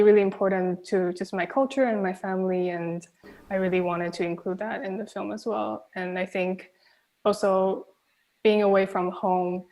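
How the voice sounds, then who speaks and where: A young woman from Taiwan, a native Mandarin speaker, answering as a film director in a thoughtful, soft-spoken way with many pauses. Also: medium pitch, poor audio. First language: Mandarin Chinese. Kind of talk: reflective festival Q&A answers.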